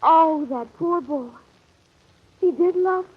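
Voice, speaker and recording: An actress playing a soft-hearted, girlish secretary in a 1940s radio mystery, breathless and sentimental. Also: very high-pitched, slow pace. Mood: distressed, pleading. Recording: muffled, noisy vintage radio recording.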